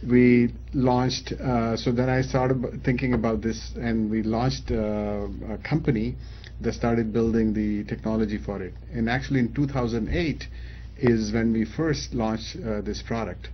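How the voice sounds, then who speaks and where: A man's voice: mid-range voice, fairly even intonation, unhurried, slight background noise. Hesitant middle-aged man, slightly dull audio. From Pakistan, raised in Saudi Arabia. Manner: hesitant, many fillers and restarts. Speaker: company founder, guest. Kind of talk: casual interview answers.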